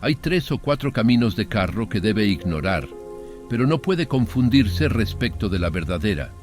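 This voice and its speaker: Man voicing a courteous, frail old gentleman, lively intonation. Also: on the low side, normal speed, faint music behind, pitched above the performer's own voice. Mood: helpful, explanatory. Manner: cultivated, formal, apologetic. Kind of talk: dramatized horror audiobook.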